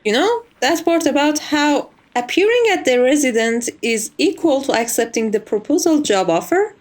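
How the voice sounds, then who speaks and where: Vampire's maid, played by a woman for ASMR roleplay: formal, reassuring, gently wry, with a very expressive, fairly high voice. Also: moderate pace. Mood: wry, matter-of-fact. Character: composed, courteous vampire's maid, wryly teasing.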